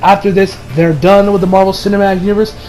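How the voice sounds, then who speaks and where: High-voiced young man rambling through a review. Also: level tone, quick pace, a little mellow. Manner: rambling, repeats and rephrases himself. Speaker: movie reviewer. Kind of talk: casual spoken review over gameplay.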